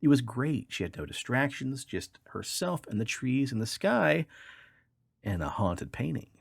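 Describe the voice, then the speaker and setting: Lively, husky-voiced man reading stories. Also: mid-range voice, unhurried, no echo, studio quality. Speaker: podcast host. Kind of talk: reading odd news stories aloud.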